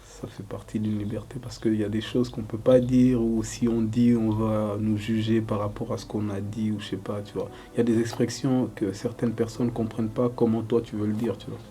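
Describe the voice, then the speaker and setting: A young man from Congo answering interview questions casually, loose and relaxed, with lots of fillers. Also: lowish voice, brisk, hardly any pauses. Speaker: rapper interviewee.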